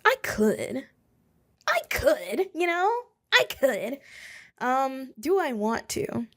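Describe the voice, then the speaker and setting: Rambling young woman podcaster, fairly high voice. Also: big pitch swings, extremely slow. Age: 20s. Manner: rambling and self-deprecating, with chuckles and asides. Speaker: podcast host. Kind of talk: casual solo podcast chat. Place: home office.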